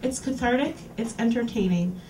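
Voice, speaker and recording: Brief: woman, higher voice, reading aloud to a bar crowd, picked up from across a roomy space. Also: warm tone, a little hiss or hum. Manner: clear, deliberate reading-aloud delivery. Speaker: reading series host. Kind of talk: live reading of erotica to an audience. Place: small lounge bar in front of an audience. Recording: roomy, distant live capture.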